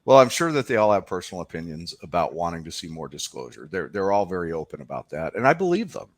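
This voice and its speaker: A man with a low-pitched voice talks as a guest in a conversational interview. He explains things informally, and the sound is dry and close, without room echo. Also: sing-song, fast talker.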